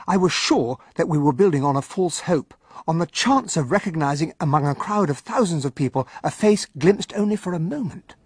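An earnest English gentleman, the loyal companion in a radio detective drama. His English-accented voice is quite husky and fairly high, with lively intonation and genial manners. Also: moderate pace, dry recording. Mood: grave. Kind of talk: radio drama acting and narration.

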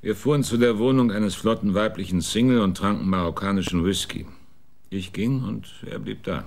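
A laconic, sardonic private detective with a low voice. He dodges questions with dry, wry answers in a radio drama. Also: normal speed, vocal fry, slight background noise. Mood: evasive. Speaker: private investigator.

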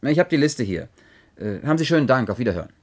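A man's voice: medium pitch, very animated, racing, background noise, close to an everyday voice. An actor playing an earnest, polite investigating chronicler in a German radio play, hesitant but persistent in his questions. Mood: preoccupied, inquiring.

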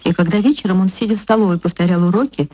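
A woman reading a literary story for radio as narrator, with an expressive, dramatic and deliberate delivery. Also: mid-range voice, slight background noise.

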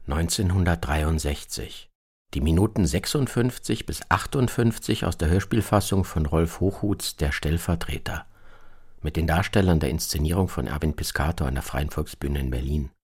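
A man reading scripted radio documentary narration in a measured, matter-of-fact manner. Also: a bass voice, husky. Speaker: narrator, presenter.

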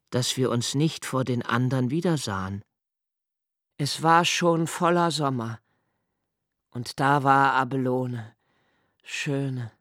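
An actor playing a young nobleman who narrates a literary radio play in a slow, hushed, reflective way. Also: mid-range voice, dry recording, studio quality. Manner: slow, hushed and reflective, dropping to near whispers. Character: young nobleman Malte, brooding poetic narrator.

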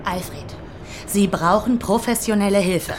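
A woman playing a brisk, insistent, sarcastic publisher badgering her author in a German radio play. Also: medium pitch, slight background noise, natural voice. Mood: appalled, bossy.

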